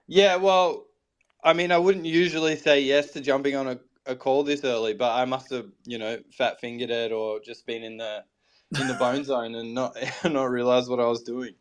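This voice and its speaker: A laid-back man speaking at an even middle pitch over a video call. He chats easily and laughs often. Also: moderate pace, clear, resonant tone. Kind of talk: casual chat. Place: at home computer, early morning.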